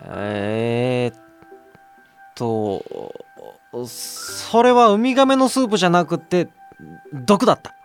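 A man's voice: a little high, sing-song, takes it slowly, pauses often, faint music behind. An actor playing an impatient, easily puzzled young man with casual, slangy, whiny speech in a radio drama. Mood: curious, puzzled. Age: young adult. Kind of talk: radio drama dialogue with first-person narration.

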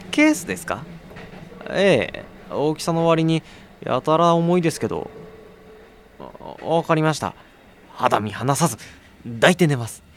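A man's voice: medium pitch, slight background noise. A man playing a harried young courier in a radio drama, mixing casual first-person narration with exasperated asides. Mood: dutiful, flustered. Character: harried young male courier, wry and irritable.

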